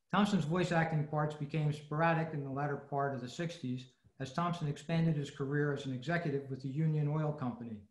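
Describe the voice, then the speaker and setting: Man, slightly high voice, scripted club presentation, video call, echoey room. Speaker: presenter at an old-time radio club. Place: online video meeting.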